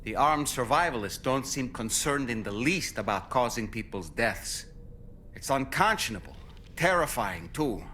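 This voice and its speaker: Sensitive worried man, mid-pitched voice, reflective, compassionate, game cutscene. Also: expressive, normal speed, sharp tone, slight background noise. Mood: troubled. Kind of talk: video game cutscene acting.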